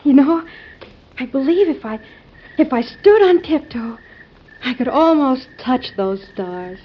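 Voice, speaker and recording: A woman's voice: high-pitched, lively intonation, unhurried, breathy edge, exaggerated voice. An actress playing a sweet, dreamy, playful young society daughter in classic American radio drama, polite and girlish. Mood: delighted, dreamy. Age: young adult. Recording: muffled old radio recording.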